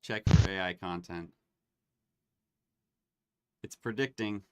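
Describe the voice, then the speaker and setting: A man hosting a live online demo, chatting casually and getting excited as he tests tools. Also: low voice, slow, heavy background noise. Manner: excitable, laughs and exclaims while testing tools.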